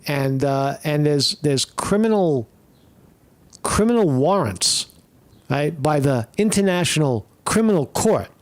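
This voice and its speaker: A man's voice: on the high side, dry recording. A man giving rambling, profane commentary while watching videos, full of fillers and restarts. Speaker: commentary streamer.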